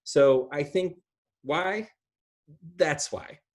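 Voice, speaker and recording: A man's voice: a little high, extremely slow. A middle-aged man giving a conversational online talk from a room at home, rambling into asides. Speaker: guest speaker. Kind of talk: conversational online talk on film and pop culture. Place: small room at home, indoors. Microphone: video call, computer at arm's length.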